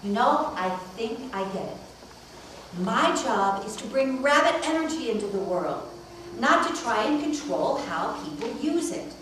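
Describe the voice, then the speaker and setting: A woman's voice: on the high side, very animated, a little slow, fairly bright tone. A woman voicing an earnest, well-meaning rabbit in an amateur puppet play. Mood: earnest, hopeful. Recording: very echoey, distant, background noise.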